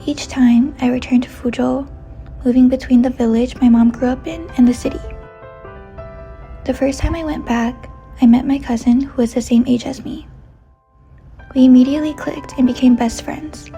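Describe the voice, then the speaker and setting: Soft-spoken young woman, dark mellow voice. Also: high voice, steady tone, normal speed, lo-fi sound, faint music behind. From New York City. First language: Chinese. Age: 20s. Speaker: narrator. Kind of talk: scripted personal narration.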